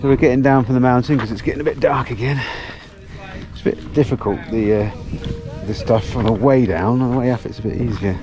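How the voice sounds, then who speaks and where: A man's voice: mid-range voice, brisk, highs cut off. A middle-aged man, chatting casually to camera and his dog while hiking a mountain ridge outdoors. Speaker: hiking vlogger. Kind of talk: casual outdoor vlog commentary. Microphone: action camera on a pole.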